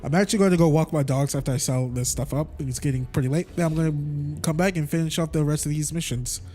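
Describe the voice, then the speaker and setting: Higher-voiced casual man streaming a game. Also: fairly even intonation, quick pace, warm tone, faint music behind. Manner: informal, slangy, thinking aloud. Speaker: video game livestreamer. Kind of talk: casual live gameplay commentary.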